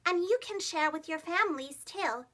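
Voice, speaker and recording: A woman narrating a children's storybook as a preschool teacher, expressive, with simple phrasing for young children. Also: very high-pitched, moderate pace.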